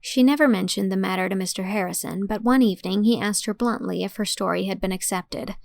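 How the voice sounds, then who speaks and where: A woman reading a classic novel aloud as narrator, telling the story in a clear, measured way. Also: medium pitch, a little mellow, dry recording, studio quality.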